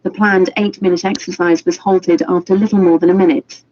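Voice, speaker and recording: Mellow woman reading radio news. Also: lowish voice, level tone, a little hiss or hum. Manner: measured, formal read. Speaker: newsreader. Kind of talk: scripted news bulletin. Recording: dull lo-fi radio broadcast.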